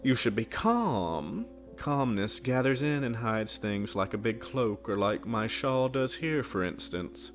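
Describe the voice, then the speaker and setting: A man's voice: medium pitch, very animated, measured pace, few pauses, soft background music. A man voicing an odd young village girl who talks in prim, teasing riddles and sounds pleased with herself.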